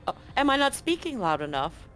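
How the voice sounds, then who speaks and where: A woman voicing an anxious, low-grade female android in a tabletop game, stammering, literal and eager to appease. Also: big pitch swings, brisk, a bit bright. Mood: anxious, placating. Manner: stammering, over-literal, placating.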